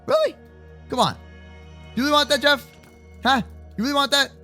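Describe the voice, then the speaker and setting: A young adult man improvising kids' Minecraft roleplay commentary in an excitable, slangy way, often repeating his words. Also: extremely high pitch, fairly quick, faint music behind. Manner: slangy, addresses partner as bro and man. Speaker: gaming video host and roleplayer.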